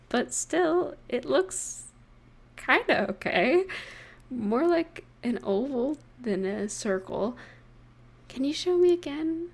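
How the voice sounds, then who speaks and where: A young woman playing an affectionate, playful girlfriend in an intimate audio roleplay, speaking softly and tenderly with gentle pauses. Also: a little high, gravelly, exaggerated voice. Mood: playful, excited. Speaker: voice actor in romantic audio roleplay. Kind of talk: intimate scripted roleplay addressed to the listener.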